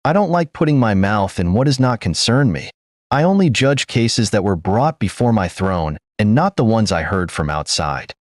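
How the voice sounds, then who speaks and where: A man's voice: fairly low pitch, expressive, normal speed, dry recording, clean studio sound, close to an everyday voice. A man voicing an aging king in a read folk tale, exclaiming with sorrow over a lost friend. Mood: firm. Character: aging male king, grieving old friend.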